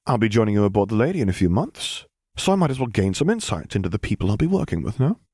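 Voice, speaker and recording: A man voicing a cheeky, excitable teenage cadet in an audiobook story, blunt and mischievous. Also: fairly low pitch, quick pace, husky, no room sound, studio quality. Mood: bright, cheeky. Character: cheeky excitable young military cadet, the general's son.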